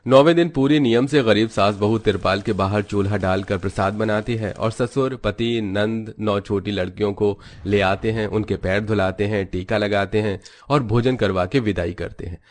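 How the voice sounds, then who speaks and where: Guy narrating a moral story from a script, fairly low voice. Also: moderate pace, few pauses.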